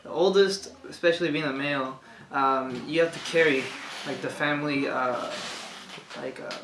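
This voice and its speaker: Soft-spoken young man in an echoey room. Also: fairly high pitch, normal speed. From Chile. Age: young adult. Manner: soft-spoken, hesitant, many fillers. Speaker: interview guest. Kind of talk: conversational testimony. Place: room with bookshelves, indoors. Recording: echoey room, faint hiss.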